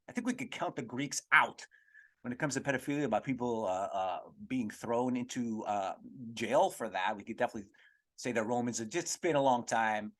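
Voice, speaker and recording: Rambling, foul-mouthed man hosting a podcast. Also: medium pitch. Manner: swears freely. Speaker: podcast host. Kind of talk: rambling casual comedic monologue answering sent-in questions.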